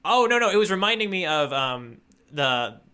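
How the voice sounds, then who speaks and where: A man's voice: fairly high pitch, sing-song, normal speed, pushed and bright, smooth. A man co-hosting a podcast in casual, good-humored conversation, often doubling back on his sentences. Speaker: podcast co-host, newcomer tourist. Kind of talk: casual chat, manga readalong podcast.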